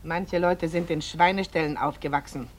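An actress playing a snobbish, scornful wife in a literary radio drama, with a haughty and cutting manner. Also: female voice, sits low, steady tone, normal speed.

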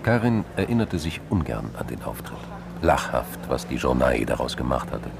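A man with a gravelly voice reading scripted narration for a crime radio drama. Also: a bass voice, sing-song, moderate pace, background noise.

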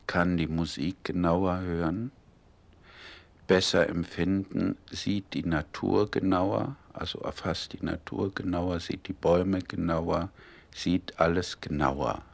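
A male interviewer posing a question, listing his points one after another in a measured way. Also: low voice, steady tone, gravelly.

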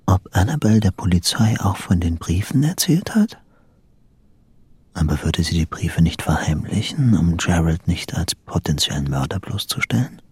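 An actor playing an obsessive, secretive bachelor in a German radio drama, intense and shifting between hushed muttering and outbursts. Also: male voice, low voice, big pitch swings, moderate pace, slightly rough, exaggerated voice. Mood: brooding, hushed.

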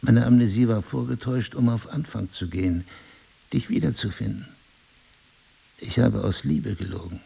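A wounded, devoted husband in middle age, speaks with a slightly husky voice. He reasons deliberately and articulately, like someone lecturing his wife, with an ironic edge. It is intimate dramatic dialogue from a stage play. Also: on the low side, dark tone, exaggerated voice. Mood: quiet, confessing. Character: reproachful yet devoted husband, wounded, philosophizing crime writer.

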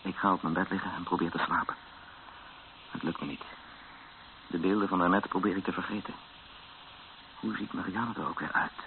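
An actor playing a weary, frightened captive who narrates a radio drama in brooding short sentences. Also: male voice, deep voice, a little fast, pauses often. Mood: weary, afraid. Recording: muffled, noisy old radio recording.

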